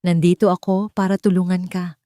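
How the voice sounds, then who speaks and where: Woman reading as a rich guy in a suit, calm and unshaken, dry close sound. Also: high-pitched, a little fast, smooth, dry recording, lightly acted. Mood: determined. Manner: steady, unshaken. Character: determined wealthy young man in suit, calm.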